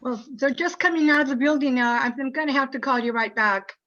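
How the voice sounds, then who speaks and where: A woman's voice: sits high, quick pace, a bit bright. A woman playing a flustered office worker in an amateur radio play, over a video call. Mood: anxious. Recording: muffled online video call audio.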